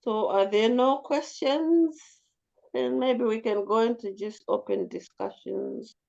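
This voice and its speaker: A talkative woman with a low, commanding voice, leading a casual group discussion and speaking reflectively. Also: slow pace, gravelly, a little mellow, highs cut off. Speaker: group facilitator.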